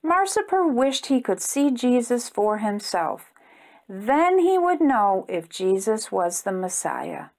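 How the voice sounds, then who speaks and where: High, slow, lively woman reading to children. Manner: expressive, simple phrasing aimed at young children. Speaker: children's storytime reader and host. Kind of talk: animated children's storybook read-aloud.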